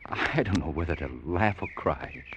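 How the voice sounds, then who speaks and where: A man's voice: low voice, expressive, moderate pace, dull audio, noisy background. An actor playing an earnest, awestruck spaceman longing for home, in a dramatic old-time radio style. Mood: awed.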